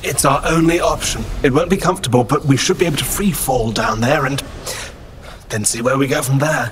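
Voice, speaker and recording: A man's voice: mid-range voice, brisk, a bit bright, a little hiss or hum, close to an everyday voice. An actor playing a prim, precise, easily exasperated male android in a science-fiction audio drama. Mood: matter-of-fact. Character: fussy, exasperated male android, logical and dry. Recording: echoey, music behind.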